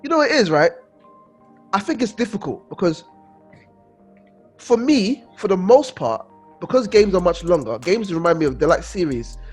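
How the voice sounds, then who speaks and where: Animated, high-voiced man. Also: moderate pace, pauses often, husky, soft background music. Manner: excitable, repeats phrases. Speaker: podcast co-host. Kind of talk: casual chat.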